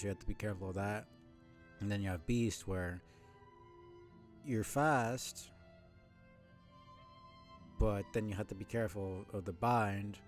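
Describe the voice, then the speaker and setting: A man with a low voice giving casual, informal live commentary as a video game streamer, thinking out loud. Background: game music behind the voice.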